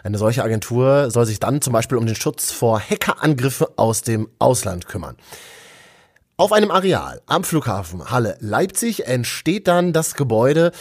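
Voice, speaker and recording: Husky, lively male news host. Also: medium pitch. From Berlin. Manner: cheerful and jokey, quick asides. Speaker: host of a local news show. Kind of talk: casual upbeat news chat.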